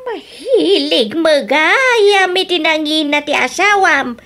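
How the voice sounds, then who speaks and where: Radio play: shrill, singsong older neighbor lady who teases and exclaims a lot, a woman acting her out. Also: expressive, slow pace, a bit bright, full character voice. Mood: teasing. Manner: exclaiming, teasing. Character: chatty older woman neighbor selling pots.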